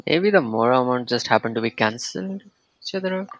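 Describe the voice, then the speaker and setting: A man's voice: medium pitch, expressive, fast talker, no room sound. Casual male gamer commenting as he plays. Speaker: gaming streamer. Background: game music.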